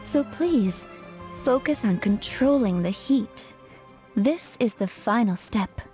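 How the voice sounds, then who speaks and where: A flirtatious perfumer, high mellow voice. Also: female voice, animated, slow, soft background music. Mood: poised, instructive. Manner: coquettish, instructive, poised. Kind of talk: English video game voice acting.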